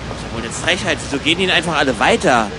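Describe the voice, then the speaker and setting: An eager young emigrant played by a man in a radio play, with a rather high voice over clearly audible music. He is chatty and practical, nudging things along with mild exasperation. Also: expressive, racing, close to an everyday voice, low-quality recording. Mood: eager, impatient. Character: eager, practical young German emigrant narrating his travels. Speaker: narrator and actor in radio play. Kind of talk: radio play narration and dialogue.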